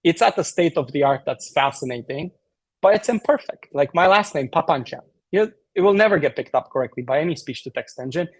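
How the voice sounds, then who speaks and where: A man's voice: on the high side, expressive, no room sound. Man with a slight Romanian accent. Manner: fast, filler-heavy explaining with many restarts. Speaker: tech company guest. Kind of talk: podcast interview answers.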